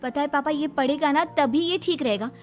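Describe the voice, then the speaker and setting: A woman's voice: sits high, normal speed, faint music behind, close to an everyday voice. A young woman voicing a talkative, bossy but caring teenage big sister who scolds and fusses in a moral story. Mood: bossy, fussing. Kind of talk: acted moral story dialogue.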